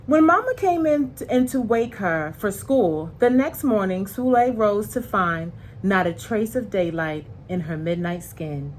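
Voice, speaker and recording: A woman reading a picture book aloud to young children in an expressive, deliberate way, pausing to explain things in simple words. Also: mid-range voice, a little hiss or hum.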